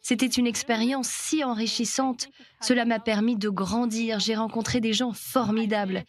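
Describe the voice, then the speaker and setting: Female dub voice over an interview, higher pitch, calm and earnest. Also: moderate pace. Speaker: dubbing voice for an interviewee. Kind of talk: voice-over translation.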